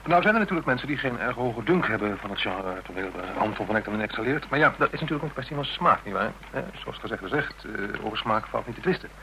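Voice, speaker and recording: A low but bright male voice for a radio drama. He is a well-meaning, loyal friend, hesitant and a little formal, stammering in his fluster. There is faint noise on the recording. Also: animated, fast, few pauses, low-quality recording. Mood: earnestly defensive. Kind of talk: radio play dialogue.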